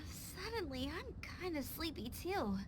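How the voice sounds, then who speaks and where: Very high-pitched, eager girl adventurer. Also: noisy background, heavily acted. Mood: sleepy. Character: eager, cheerful young girl, bubbly game adventurer. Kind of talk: video game cutscene voice acting. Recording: game music behind voice.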